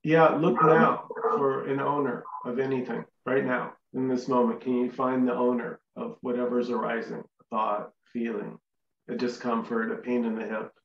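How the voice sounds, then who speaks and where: A man's voice: medium pitch, little pitch movement, moderate pace, vocal fry, reverberant, recorded from a distance, highs cut off. A man hosting a casual group chat, talking emphatically and repeating short denials over a video call. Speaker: host.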